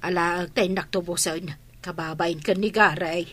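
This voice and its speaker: A woman's voice: on the low side, fairly even intonation, normal speed, fairly bright tone, slight background noise, natural voice. A woman with an Ilocano accent playing a worried, exasperated middle-aged mother of a grown son in a radio drama.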